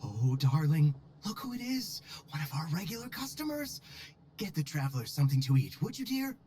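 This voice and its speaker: A man's voice: a little high, sing-song, brisk, warm tone, a little hiss or hum. A man voicing a doting, sugary video game eatery owner with an affected, effusive manner.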